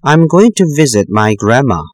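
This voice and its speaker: A man reading numbered sentences for a textbook listening exercise, with slow, careful enunciation. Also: medium pitch, big pitch swings, no echo, studio quality. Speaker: listening-test reader.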